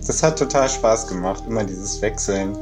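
Amused, lowish-voiced man over music. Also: moderate pace. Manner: amused, chatty. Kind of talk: casual interview talk. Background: music bed.

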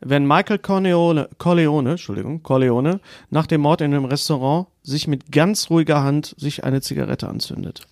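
A man's voice: a little high, moderate pace, gravelly, hardly any pauses, a little mellow, dry recording, studio quality. A man hosting a podcast, discussing films casually in colloquial language. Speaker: film podcast host. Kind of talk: casual film discussion, reads out listener submissions.

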